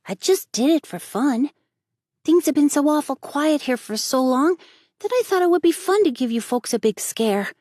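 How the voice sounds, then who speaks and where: A mischievous little boy with a high, childlike voice and a frank, pleading, colloquial way of talking, as a woman puts him on. The sound is dry and close, with no room around it. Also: expressive, normal speed, darkish tone, no room sound.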